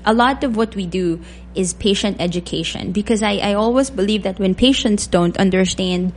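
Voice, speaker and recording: A woman, a doctor answering questions in a radio interview in a measured, thoughtful way with frequent pauses. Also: mid-range voice, a little hiss or hum. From Philippines. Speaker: guest physician.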